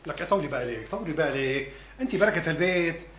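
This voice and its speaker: Coaxing Syrian family man, bright-voiced. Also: on the high side, animated, quick pace. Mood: soothing, coaxing. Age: middle-aged. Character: firm but coaxing husband and father, a peacemaker. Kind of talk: TV drama acting. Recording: echoey room, noisy ambience.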